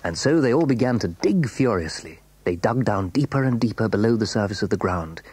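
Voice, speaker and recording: A man narrating a children's audio story in an expressive, suspenseful way. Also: lowish voice, fairly quick, slightly rough, no room sound.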